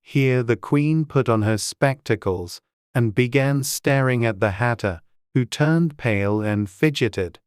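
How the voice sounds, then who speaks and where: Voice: medium pitch, a little slow, darkish tone, clear, resonant tone, dry recording, clean studio sound. An audiobook narrator reading a scripted storybook.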